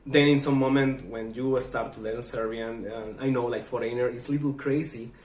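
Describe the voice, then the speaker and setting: A man's voice: mid-range voice, steady tone, a little mellow, slight background noise. Eager Mexican-accented man in echoey room. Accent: Mexican Spanish. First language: Spanish. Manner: eager, chatty, halting non-native phrasing. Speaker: vlogger. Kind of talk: casual talk to camera.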